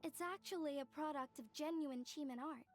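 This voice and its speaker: A woman voicing a friendly young street-smart artisan in a video game, expressive and explanatory. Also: sits high, moderate pace, soft background music, close to an everyday voice. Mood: concerned. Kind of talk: video game dialogue acting.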